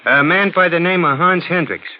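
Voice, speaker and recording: An actor playing a cocky, slow-witted police sergeant in a 1940s radio drama, talking in blunt, ungrammatical slang. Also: male voice, high voice, lightly acted. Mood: matter-of-fact. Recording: dull, noisy vintage radio recording.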